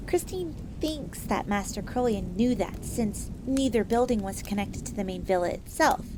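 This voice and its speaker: A woman's voice: mid-range voice, animated, moderate pace, close to an everyday voice. A woman voicing a polite, eager fox maid assassin who tells her tale in the third person in an audio roleplay. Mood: earnest storytelling. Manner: refers to herself in third person, genteel. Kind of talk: in-character storytelling, audio roleplay. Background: crackling fire ambience.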